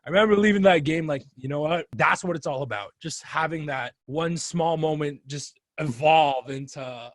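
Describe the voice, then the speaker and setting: A young man hosting a podcast, telling a story in a lively way and acting out his own calls. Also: fairly high pitch, normal speed, hardly any pauses, no echo. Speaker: podcast host. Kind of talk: casual podcast conversation.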